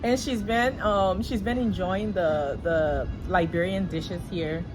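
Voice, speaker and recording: A woman hosting a casual interview, prompting her guest gently. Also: on the low side, takes it slowly, rough recording. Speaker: host. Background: music.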